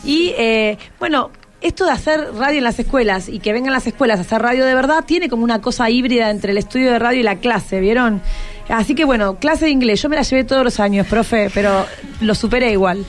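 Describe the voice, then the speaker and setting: A woman's voice: fairly high pitch, brisk. A woman with an Argentine Rioplatense accent, hosting a casual radio interview with students. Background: music.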